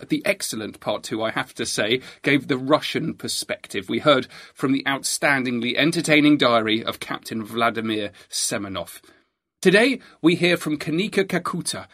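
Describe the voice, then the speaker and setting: Podcast host, male, mid-pitched, emphatic and enthusiastic, recorded close and dry. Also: a bit bright, dry recording. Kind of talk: podcast introduction and interviewing.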